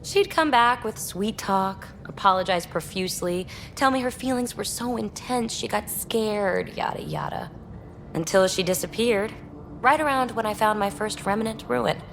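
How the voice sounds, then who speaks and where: A woman acting a brash young rogue explorer from a video game, snarky and candid with a wounded edge. She talks in animated, colloquial bursts at a medium pitch over faint rumbling noise. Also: normal speed, close to an everyday voice. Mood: hurt, bitter.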